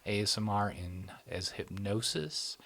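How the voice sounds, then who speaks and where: A man's voice: on the low side, expressive, measured pace, vocal fry, a bit bright. A man hosting a casual interview. He prompts his guest with frequent affirmations and talks with energy when he presents his points. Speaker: interviewer and host. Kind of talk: casual interview, running through a topic list.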